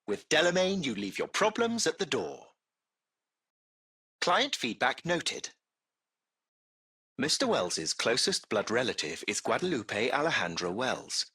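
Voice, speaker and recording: An actor playing a composed, courteous artificial intelligence that drives a luxury taxi, speaking in formal, polite phrases for a video game. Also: male voice, mid-range voice, creaky, bright, forceful tone, slightly rough, exaggerated voice. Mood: calm, businesslike. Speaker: AI chauffeur. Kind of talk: scripted video game dialogue.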